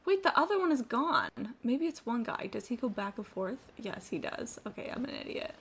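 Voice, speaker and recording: A woman's voice: fairly high pitch, very animated, moderate pace, slight background noise. A woman livestreaming a game with casual, sweary, self-deprecating commentary, thinking aloud. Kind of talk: casual live gaming commentary.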